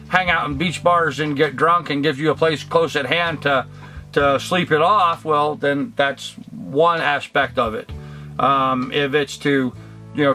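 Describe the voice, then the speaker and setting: Older man, vlogging to camera, bright tense tone, slightly raised pitch, soft guitar behind. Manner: earnest, reflective, many ums and restarts. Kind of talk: casual talk to camera. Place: cluttered indoor workshop room.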